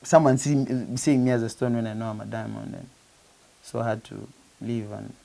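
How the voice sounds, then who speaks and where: Man from Uganda, musician guest, gravelly and dark-toned, earnest, faint hiss. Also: mid-range voice, steady tone, moderate pace. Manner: earnest, repeats and restarts phrases, says "you know" often. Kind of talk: casual interview talk.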